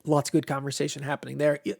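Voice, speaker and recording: A man's voice: fairly high pitch, monotone, very fast, creaky, a little mellow. A man from Ohio with an American accent hosts a casual livestream, rambling informally, sounding stuffed up with a tiring voice. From Midwestern US, Ohio. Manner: rambling, informal, mildly profane. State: congested with allergies, voice tiring. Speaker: host of a game publisher's livestream.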